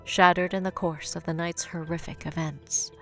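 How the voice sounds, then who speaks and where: Female narrator for dark audio drama, played by a woman: very low voice, solemn, measured, over a music bed. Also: level tone, lightly acted. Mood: grave, suspenseful. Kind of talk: scripted dramatic narration, present tense.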